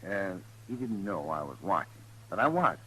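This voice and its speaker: Folksy male voice, American accent, swinging pitch, bright tone, hesitant sly townsman, old-time radio western. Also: sing-song, moderate pace, husky, exaggerated voice, poor audio. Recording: vintage radio drama recording.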